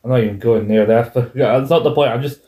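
A man's voice: medium pitch, fast, warm tone, roomy sound. A man in his twenties chatting casually as a podcast co-host, rambling with restarts and self-corrections. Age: 20s. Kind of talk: casual chat.

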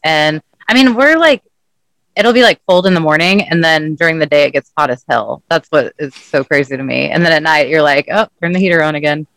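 Bright, smooth-voiced Texan co-host. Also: male voice, on the high side, a little fast, rough recording. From Dallas, Texas. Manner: chatty, curious, casual swearing. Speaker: podcast co-host. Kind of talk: casual interview chat.

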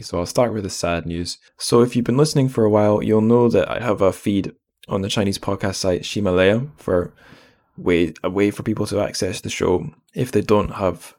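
A man with a deep, low voice hosts a podcast in casual spoken style. He is chatty and self-deprecating, wandering into little asides as he goes. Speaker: podcast host.

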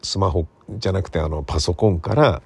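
A man's voice: sits low, a little fast, darkish tone, breathy edge, no echo. A man in his sixties talking casually on his own as a podcast host, musing and rambling in a colloquial way. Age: 60s. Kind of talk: casual solo free talk.

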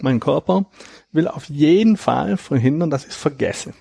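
A man in his thirties, a guest in an interview, explaining at length in a reflective, measured way with a gravelly, husky voice. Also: a little high, lively intonation, warm tone, dry recording, clean studio sound. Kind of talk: conversational interview.

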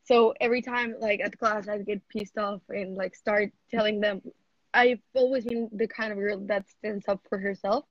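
A woman's voice: mid-range voice, steady tone, moderate pace, no echo, muffled recording. A seventeen-year-old woman from Mexico, an athlete, chatting casually in an interview over a video call and saying "like" a lot. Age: teens. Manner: chatty, heavy use of "like".

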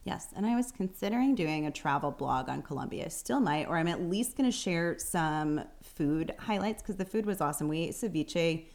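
A woman's voice: fairly low pitch, lively intonation, moderate pace, hardly any pauses, a little mellow. A woman co-hosting a podcast, chatting casually, often laughing and using fillers like "um" and "like". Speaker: podcast co-host. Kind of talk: casual chat.

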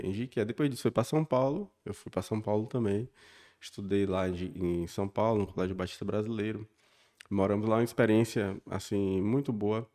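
A man in his forties with a Bahian accent speaks as a podcast guest. His voice sits on the low side, and he tells his story earnestly, often tagging his sentences with little questions. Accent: Bahian Brazilian Portuguese. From Bahia, Brazil. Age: 40s. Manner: warm and earnest, frequent tag questions. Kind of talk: casual interview storytelling.

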